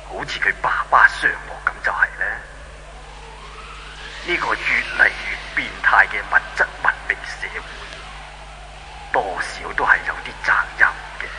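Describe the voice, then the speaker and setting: An actor with a Hong Kong Cantonese accent playing an ancient, patient heavenly god who pleads and moralizes in an old radio drama. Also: male voice, lowish voice, pushed and bright, poor audio. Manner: preachy, measured, pleading. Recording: faint noise, old 1970s radio recording.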